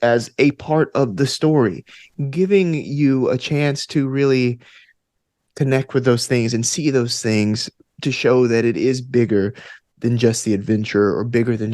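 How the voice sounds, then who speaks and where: A man with a mid-pitched voice talks as a guest in a reflective interview. He is earnest and encouraging, circling back to repeat phrases for emphasis. The sound is close and dry. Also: a little mellow, no room sound. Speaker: podcast guest, actor and game master. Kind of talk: casual, reflective interview conversation.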